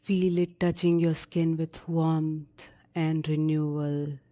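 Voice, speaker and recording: A woman narrating a guided sleep meditation in a soothing, unhurried manner with long pauses between short instructions. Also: low-pitched, flat delivery, dark tone.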